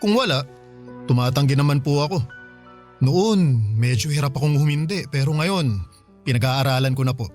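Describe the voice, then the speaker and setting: A man acting a dutiful, higher-voiced grown son. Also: normal speed, soft background music, close to an everyday voice. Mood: reassuring. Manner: polite, reassuring, conversational. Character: dutiful, respectful hardworking son in his thirties. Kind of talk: radio drama dialogue.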